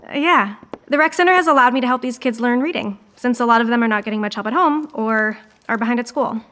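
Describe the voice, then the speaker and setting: An actress playing an earnest American volunteer reading teacher in an audio drama, instructive and sincere. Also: female voice, fairly high pitch, fast talker, fairly bright tone, natural voice. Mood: apologetic, earnest.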